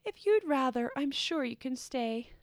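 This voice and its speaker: A tiny, brave little creature with a kind heart, talking earnestly in a high, bright voice that rises and falls, as a woman reads him in a storybook. The sound is close and dry. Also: animated, measured pace, no echo. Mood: gentle.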